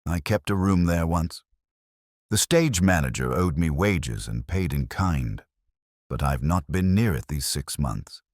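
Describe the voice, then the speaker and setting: A man's voice: extremely low pitch, lively intonation, unhurried, darkish tone, no room sound, studio quality, full character voice. A man voicing a disgraced male actor under suspicion in an audiobook, uneasy, terse and defensive.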